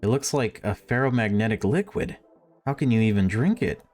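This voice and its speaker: A man's voice: lowish voice, expressive, brisk, darkish tone, faint music behind. A man voicing a cautious, inquisitive youngster in a visual novel, hesitant and questioning. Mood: wary.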